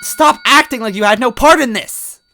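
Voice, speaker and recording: Man putting on a shrill little mushroom girl. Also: moderate pace, vocal fry, noisy background. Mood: angry, defiant. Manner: shrill, pleading, argumentative.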